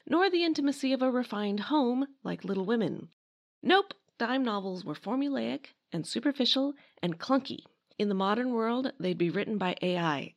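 Woman hosting a history podcast, medium pitch, reads a script but chatty and kinda wry. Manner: wry, conversational asides. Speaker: podcast host and narrator. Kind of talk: scripted narration of a history talk.

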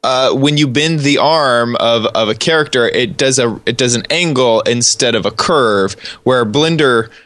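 Male co-host, enthusiastic and rambling, mid pitch, dry sound. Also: a little slow, few pauses, a bit bright, dry recording. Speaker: podcast co-host, makes let's plays. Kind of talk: casual podcast chat.

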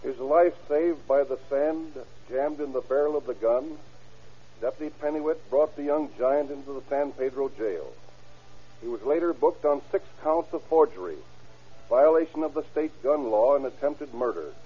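A man's voice: medium pitch, normal speed, husky. An American man giving formal scripted closing narration on a 1930s radio program. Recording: dull old radio recording.

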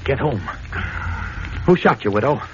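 A man's voice: mid-range voice, animated, unhurried, natural voice. An actor playing an urgent, level-headed newspaperman hero, speaking insistently in 1940s radio drama style. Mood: questioning. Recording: muffled, noisy old radio recording.

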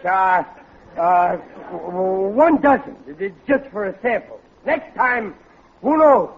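A man's voice: high voice, animated, slow, husky, full character voice. An actor playing a hapless, nervous working-class husband and father in a 1940s radio comedy, stammering and folksy. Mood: flustered, nervous. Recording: dull, noisy old radio recording.

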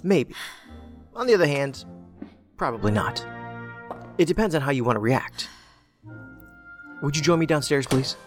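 A man's voice: a little high, animated, moderate pace, pauses often, natural voice. Sardonic, erudite investigator played by a man in echoing hall. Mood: wry, teasing. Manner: wry, wordy, lecturing. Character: sardonic, erudite male federal investigator, cocky and philosophical. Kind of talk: science fiction audio drama acting. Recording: long hall reverb, music underneath.

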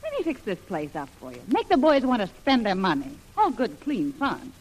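A woman's voice: fairly high pitch, huge pitch swings, moderate pace. An actress playing a brassy, streetwise woman with slangy, wheedling talk in an old radio adventure drama. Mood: wheedling, leaning on him. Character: brassy, hard-boiled woman undercover as saloon hostess. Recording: noisy old radio broadcast recording.

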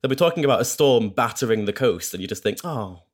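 A man in his twenties with a soft, posh Scottish accent, chatting as a podcast guest in a playful, self-deprecating way over a video call. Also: mid-range voice, lively intonation, fast talker. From Scotland. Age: 20s. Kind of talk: casual interview chat.

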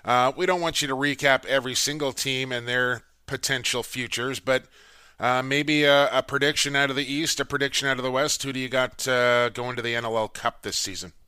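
A man's voice: mid-range voice, steady tone, fairly quick, pushed and bright, clear, resonant tone, no echo, studio quality. A man hosting a sports talk show, chatting casually and teasing his guest. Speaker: podcast host.

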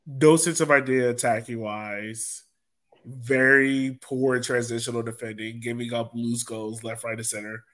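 A man's voice: mid-range voice, fairly bright tone. A man hosting a sports podcast, chatting in an informal, colloquial way. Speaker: podcast host. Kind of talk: casual sports podcast chat.

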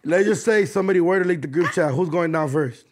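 A man's voice: a little high, fast. An American man in his twenties in casual group banter, provocative and sweary, needling others with questions. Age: 20s.

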